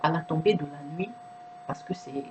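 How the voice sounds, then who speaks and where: Low-voiced earnest woman, non-native French. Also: normal speed, noisy background. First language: not French. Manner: earnest, many restarts and repeated words. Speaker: video presenter. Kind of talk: reflective monologue to camera.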